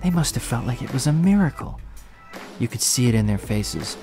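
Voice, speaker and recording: A man's voice: medium pitch, animated, a little fast, darkish tone, soft background music, close to an everyday voice. A man acting a young slum hero who tells his own story in an audio drama, earnest and emotional. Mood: reflective, earnest. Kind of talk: first-person audio drama narration with acted dialogue.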